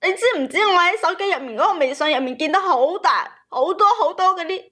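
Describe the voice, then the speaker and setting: High-voiced woman caller telling her story. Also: lively intonation, quick pace, bright, forceful tone. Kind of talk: emotional personal storytelling to a host.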